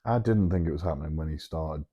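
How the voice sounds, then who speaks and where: A man's voice: rumbling low, fast, muffled recording. A man co-hosting a podcast in casual, sweary, jokey banter. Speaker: podcast co-host.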